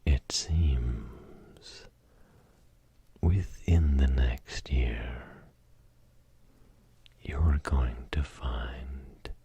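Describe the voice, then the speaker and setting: A man playing a grumpy, wise fortune teller in an ASMR role play, whispering in a slow, theatrical, formal way. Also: a bass voice, dark tone, husky, heavily acted. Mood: solemn, soothing. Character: grumpy wise male arcade fortune teller, Great Tingdinee. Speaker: ASMR performer.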